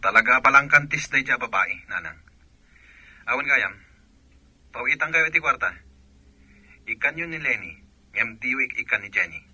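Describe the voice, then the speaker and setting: A jealous, hot-tempered soldier with an Ilocano Filipino accent. His voice is very sharp and forceful, with a husky edge, in radio drama style. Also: mid-range voice, expressive, moderate pace, pauses often, no echo, exaggerated voice, low-quality recording. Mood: indignant, dutiful. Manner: forceful, accusing. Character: jealous hot-tempered soldier, accusing husband and doting son.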